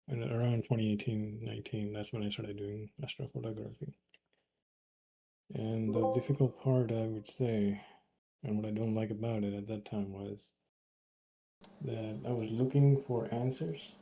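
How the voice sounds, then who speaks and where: A man chatting as a guest on a live stream, halting and hesitant with many fillers. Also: lowish voice, gravelly, warm tone, low-quality recording. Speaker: guest on a livestream. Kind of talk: casual chat.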